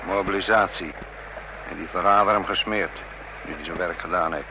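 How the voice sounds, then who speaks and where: An actor playing a stern plainclothes detective in a radio play, speaking in a curt, disapproving way. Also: male voice, sits low, fairly even intonation, moderate pace. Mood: watchful. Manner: curt, clipped. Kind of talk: radio drama acting. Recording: noisy old radio play, outdoor ambience.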